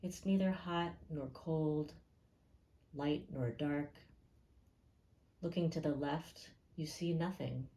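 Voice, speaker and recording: A woman leading a guided meditation in a calm, gentle and unhurried way, leaving pauses between phrases. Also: deep voice, rough recording.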